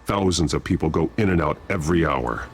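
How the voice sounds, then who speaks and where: A man playing a grim masked vigilante detective in a video game, with a gravelly growl and a terse, stern, deliberate delivery. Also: extremely low pitch, animated, slight background noise. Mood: grim, focused.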